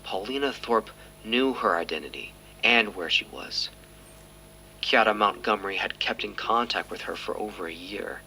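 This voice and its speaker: Guy playing a tired skeptical detective, deep-ish voice, radio drama, bit of hum behind. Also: expressive, moderate pace, bright, forceful tone, heavily acted, rough recording. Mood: reflective, weary. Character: male police detective, skeptical, weary, quietly pressing. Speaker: actor playing a police detective. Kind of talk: audio drama acting, interrogation and confessional narration.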